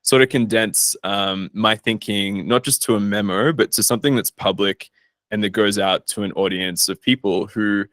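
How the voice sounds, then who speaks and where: A man's voice: lowish voice, normal speed, fairly bright tone, dry recording. A man chatting casually as an interview guest, informal and self-deprecating, recorded in a studio. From Australia. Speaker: guest, startup founder and investor.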